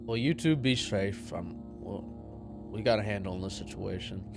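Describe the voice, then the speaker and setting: A man with a lowish, creaky voice chats as a player at a tabletop game. He slips casually between character talk and table talk, with music clearly behind him. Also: moderate pace. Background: background music.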